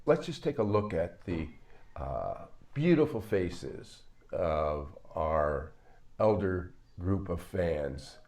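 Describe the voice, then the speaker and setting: Older man, very deep, slow, measured and halting, psychologist talking to camera, home office. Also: expressive, a little mellow. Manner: measured, halting with many fillers. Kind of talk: talking to camera in a video commentary.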